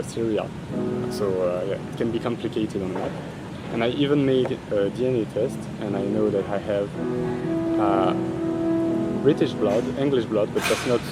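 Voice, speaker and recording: A man's voice: on the low side, rough recording. A young Belgian man who speaks English with a French accent, answering interview questions in a hesitant, filler-laden way with light laughs. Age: young adult. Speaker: interviewee, university student.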